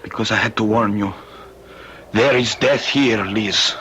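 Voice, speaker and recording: Through clear vintage radio noise comes a tormented Spanish youth with a fairly low voice. He has a Spanish accent and speaks earnestly and formally, shy yet embittered, in classic radio drama style. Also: measured pace, sharp tone. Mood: grim, intense. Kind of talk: old-time radio drama acting. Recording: noisy vintage radio recording.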